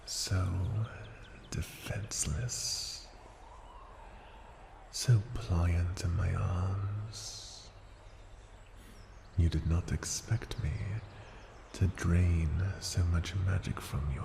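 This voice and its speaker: Man voicing a very slow, deep ancient dragon. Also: steady tone, reverberant, background noise, full character voice. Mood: menacing, teasing. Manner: slow, deliberate, formal, condescending, often dropping to a breathy whisper. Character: ancient powerful dragon, haughty, possessive, tenderly menacing. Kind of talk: ASMR fantasy roleplay, intimate scripted monologue.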